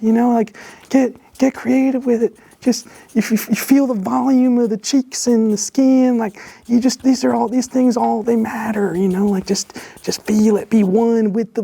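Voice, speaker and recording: A man's voice: a little high, no echo. Playful, rambling man teaching drawing. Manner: jokey, rambling, mock-gentle encouragement with asides and laughs; drops to whispers while concentrating on drawing. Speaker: drawing instructor.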